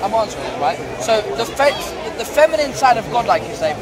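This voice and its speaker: Rambling high-voiced young man outdoors. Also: big pitch swings, quick pace. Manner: rambling, often adds "right?". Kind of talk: street debate. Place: crowded city park outdoors. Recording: loud crowd noise outdoors. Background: crowd chatter.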